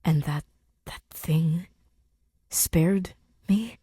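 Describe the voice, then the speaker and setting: A woman voicing a weary, haunted male survivor in an audiobook, speaking low and haltingly with a breathy, bewildered manner. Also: dark tone, dry recording, clean studio sound, exaggerated voice. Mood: stunned disbelief.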